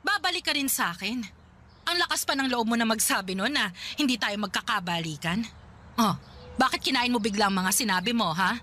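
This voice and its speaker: A woman's voice: a little high, animated, fairly quick, bright, forceful tone, a little hiss or hum. Hana, a sarcastic ex-girlfriend. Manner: sarcastic, teasing. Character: sharp-tongued young woman, scornful ex. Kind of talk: radio drama acting.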